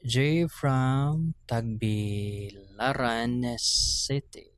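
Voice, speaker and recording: A man's voice: medium pitch, very slow. A man with a Visayan accent chattily reading a listener's letter as host of a live radio advice show, addressing the listener like a buddy. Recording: loud guitar music behind the voice. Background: plucked guitar music.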